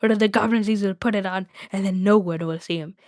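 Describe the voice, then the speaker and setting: A woman's voice: mid-range voice, steady tone, brisk, darkish tone, no echo, natural voice. A woman acts out a wretched storybook cave creature, hissing and whispering to itself. It mutters suspiciously in repetitive baby-talk, and the sound is close and dry. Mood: fearful, urgent.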